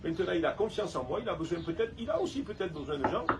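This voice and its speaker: Southern French man, slightly high-pitched, halting speech turning emphatic, distant noisy recording. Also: animated. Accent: Provençal (southern French). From Saint-Tropez, France. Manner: hesitant, repeats words, then emphatic. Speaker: restaurant owner and council candidate. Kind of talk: casual interview.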